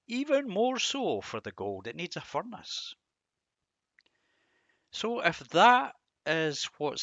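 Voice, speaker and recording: Slow-talking, bright-voiced man giving a devotional. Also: mid-range voice, no echo, muffled recording. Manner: reflective, rhetorical questions with emphatic repetition. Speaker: Bible teacher and host. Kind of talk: devotional talk reflecting on scripture verses.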